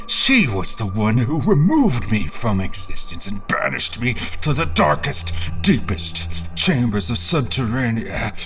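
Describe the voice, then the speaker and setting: A low-voiced actor playing a tormented man narrates a dark fantasy audio drama. He speaks slowly and theatrically, brooding and halting, as if recounting agony. Loud music runs beneath him. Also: exaggerated voice. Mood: pained, brooding. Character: tormented, vengeful man recounting his agonising ordeal. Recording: loud music bed under the voice.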